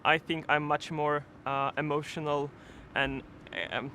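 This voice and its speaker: A man whose first language is Polish, answering a street interview in a hesitant, halting way. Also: a little high, flat delivery, sharp tone, a little hiss or hum.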